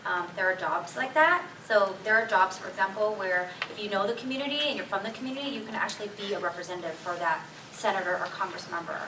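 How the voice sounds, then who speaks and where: A woman's voice: medium pitch, fast talker, hardly any pauses. A woman speaking as a panelist in conversational tones, hedging and saying "like" often. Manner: conversational, hedging, says "right" and "like". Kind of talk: casual panel talk. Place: conference hall. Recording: echoey hall from far off, noisy.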